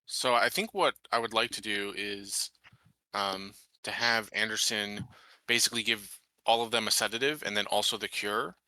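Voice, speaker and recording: Sharp-toned man, fairly low voice. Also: steady tone, normal speed, no room sound, poor audio. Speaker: player in a tabletop roleplaying game. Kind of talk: casual game chat.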